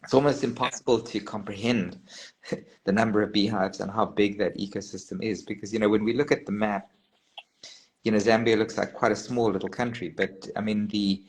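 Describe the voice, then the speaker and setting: Low-voiced man rambling on a call. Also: steady tone, fairly quick, low-quality recording. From South Africa. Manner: rambling, with many restarts and "you know". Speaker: meadmaker guest. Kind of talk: casual online panel chat.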